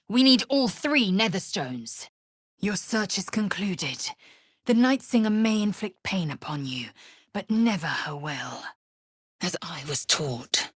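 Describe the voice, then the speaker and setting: A woman's voice: fairly low pitch, breathy edge, dry recording, clean studio sound, exaggerated voice. A woman voicing a proud, fierce alien warrior woman in a video game, with an imperious, clipped and contemptuous delivery.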